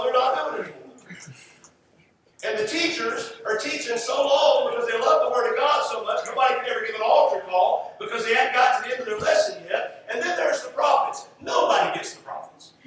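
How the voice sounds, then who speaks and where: A man's voice: very high voice, a bit bright. A man preaching a church sermon in an emphatic, repetitive rhetorical cadence. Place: church sanctuary with audience. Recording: echoey room, distant mic, faint noise.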